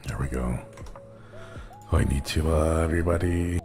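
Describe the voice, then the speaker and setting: A man chatting casually on a live stream, his voice airy and breathy today, rambling with frequent hesitations. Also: extremely low pitch, moderate pace, over music. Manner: rambling, hesitant with many fillers.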